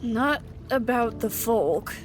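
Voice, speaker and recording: Audio drama casting: worrying young female traveller, fairly high, slow speech, clear music behind. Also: lively intonation. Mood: uneasy.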